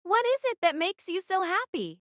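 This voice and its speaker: Young female squad leader, acted by a woman narrator, audio drama. High, swooping, earnest and self-doubting, emotional delivery. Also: very animated, normal speed, fairly bright tone, dry recording, clean studio sound, exaggerated voice. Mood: gently scolding. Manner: exclaiming, questioning, emotional. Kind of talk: dramatized novel reading.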